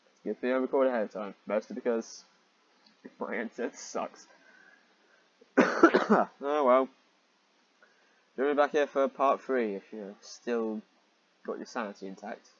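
A man with a mid-range voice reads fan fiction aloud and comments on it with weary sarcasm. He clears his throat often between phrases. The recording is dull and slightly muffled, like a cheap microphone. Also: mid-range voice, takes it slowly, pauses often, no echo. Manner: exasperated, sarcastic, sighing asides. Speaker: host and reader of fan fiction.

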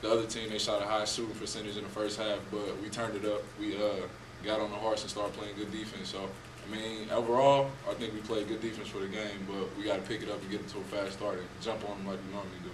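A man's voice: on the low side, level tone, fast talker, hardly any pauses. A young man who plays college basketball, answering press questions in a plain, casual way. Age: young adult. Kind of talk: postgame press conference answers. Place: press room at a table before a team backdrop. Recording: echoey room, distant mic, noisy.

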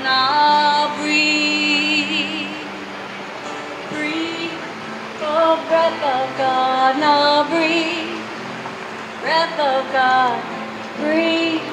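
A woman singing slow worship songs into a stand mic on a town sidewalk while playing guitar. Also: high-pitched, steady tone, reverberant, poor audio. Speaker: street worship singer. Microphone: on a stand, filmed from across the street.

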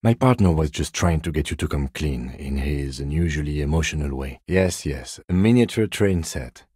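A man playing a composed, by-the-book police detective in a video game, speaking in a measured, reserved, matter-of-fact way. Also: a bass voice, animated, darkish tone, slightly rough, dry recording, clean studio sound, full character voice. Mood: composed, dry. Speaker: voice actor. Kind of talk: video game character dialogue.

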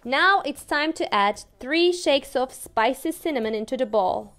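A young woman with a Turkish accent, an English teacher reading a picture book aloud to toddlers in a slow, sing-song, playful way. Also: sits high, animated, fairly bright tone. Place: small room indoors.

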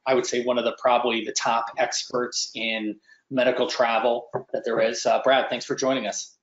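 A man's voice: medium pitch, little pitch movement, normal speed. A middle-aged man hosting a webinar on a video call, talking conversationally with many ums and restarts. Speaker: webinar host. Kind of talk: presenting and interviewing. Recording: roomy, dull video call audio.

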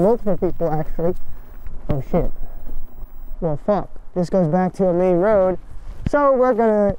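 A young woman with a soft, mellow tone talks casually as she rides. She is excitable and blunt, swearing freely. A helmet camera picks her up outdoors with faint noise around her. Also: lowish voice. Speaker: motovlogger. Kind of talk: casual riding commentary. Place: outdoors, riding an electric motorbike on roads and forest trails. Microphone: action camera on helmet. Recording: noisy outdoor ride audio.

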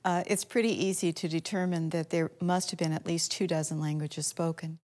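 A woman's voice: lowish voice, steady tone, brisk. A woman interviewed as a local historian, explaining in a lecturing manner. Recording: interview with music underneath.

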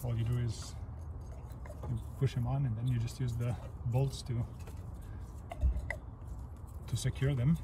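A man giving a casual, step-by-step how-to while he works, speaking plainly and unhurriedly with pauses mid-sentence. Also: medium pitch, level tone. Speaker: DIY car repair demonstrator. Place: outdoors, beside an open car engine bay. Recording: clear background noise outdoors.

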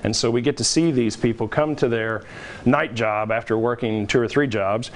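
A man's voice: mid-range voice, moderate pace. A middle-aged man, a theater director, chatting informally as a guest in a TV studio interview.

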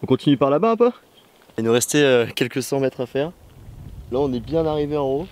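A young man, narrating a road trip in a relaxed, chatty way, filming himself at arm's length outdoors and in a car. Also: mid-range voice, a little fast. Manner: relaxed, chatty, slangy, laughs often. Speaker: travel vlogger. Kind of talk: casual travel narration. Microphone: handheld camera at arm's length. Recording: loud outdoor and road noise.

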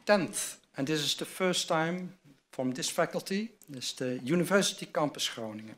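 A man's voice: fairly high pitch, lively intonation, fairly bright tone. An older man in a large wood-panelled hall, reading formal award citations from notes at a lectern microphone. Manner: measured, reads from a script at a lectern. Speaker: award presenter at a university ceremony. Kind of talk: formal ceremony address read from notes.

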